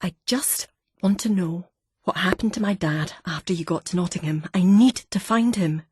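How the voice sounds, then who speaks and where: A woman's voice: on the low side, normal speed, darkish tone, breathy edge, dry recording, clean studio sound. A woman voicing a determined young woman searching for her father, with an earnest, pleading manner.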